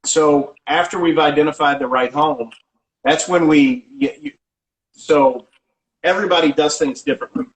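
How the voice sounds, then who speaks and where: A middle-aged man working as a real estate agent, answering interview questions in a casual, halting way with frequent restarts and filler sounds. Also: a little high, rough recording. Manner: halting, many restarts and ums.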